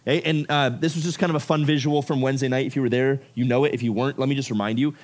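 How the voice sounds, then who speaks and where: A man's voice: medium pitch, rapid-fire. A man from Ecuador giving a youth sermon to a room of about fifty teens. He talks casually and keeps dropping in "like" and "right?". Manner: casual, animated, filler-heavy with frequent "like" and "right?". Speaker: youth pastor.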